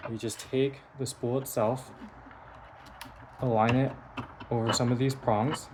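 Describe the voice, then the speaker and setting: A man's voice: mid-range voice, little pitch movement, measured pace. Man giving a technical demo over background noise. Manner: methodical, explanatory. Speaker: engineer presenting a prototype device. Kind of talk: hands-on technical demonstration.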